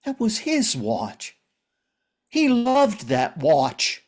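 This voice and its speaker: An actor playing a grieving, pleading widower and recovering addict in a drama reading, speaking earnestly and haltingly. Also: male voice, high voice, huge pitch swings, a little mellow, full character voice. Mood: upset. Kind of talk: script reading of a stage drama.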